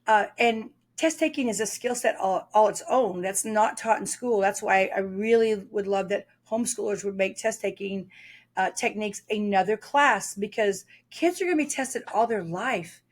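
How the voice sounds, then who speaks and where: Female guest expert, mid-pitch, chatty storyteller with fillers. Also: normal speed. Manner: talkative, explains with stories, frequent fillers. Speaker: test prep author and guest. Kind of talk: podcast interview.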